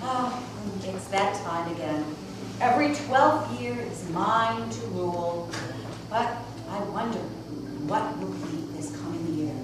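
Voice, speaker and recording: Woman as a serene, slow-speaking rabbit, very echoey. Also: mid-range voice, lightly acted. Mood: gentle, musing. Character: serene, gentle rabbit, moon-dwelling zodiac animal. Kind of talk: live amateur stage play. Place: live performance in a roomy indoor space. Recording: echoey noisy hall from far off.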